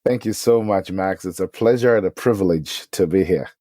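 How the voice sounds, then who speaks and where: A middle-aged man with a Ghanaian accent tells his personal story conversationally as an interview guest, in an animated storytelling way. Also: low voice, moderate pace, dry recording, clean studio sound. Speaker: podcast guest, ministry leader and preacher.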